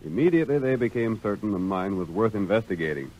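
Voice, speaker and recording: A man's voice: fairly low pitch, animated, fast, a little mellow, highs cut off. A man with an American accent narrating a scripted 1930s radio drama as its announcer. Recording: vintage 1930s radio recording.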